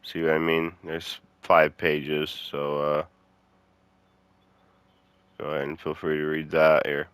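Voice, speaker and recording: A man's voice: extremely low pitch, monotone, slow, long pauses, a bit bright, smooth, no room sound. A man hosting a gaming video, giving casual, laid-back commentary and talking to his viewers.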